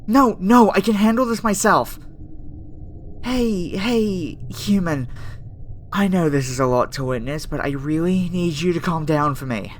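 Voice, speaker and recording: Woman acting a flustered, gentle alien scientist, faint noise behind. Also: deep voice, expressive, a little slow. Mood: earnest, calming. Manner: hesitant, stammering, soothing. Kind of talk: ASMR roleplay audio acting. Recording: faint background noise under the voice.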